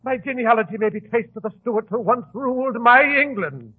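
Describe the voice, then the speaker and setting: A man's voice: very high voice, expressive, normal speed, husky, no room sound, exaggerated voice. An actor in 1940s radio style playing a nervous, vain, grandiose English madman who rants and repeats himself. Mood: ranting, aggrieved. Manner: agitated, repeats himself, ranting. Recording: tinny, band-limited old radio broadcast.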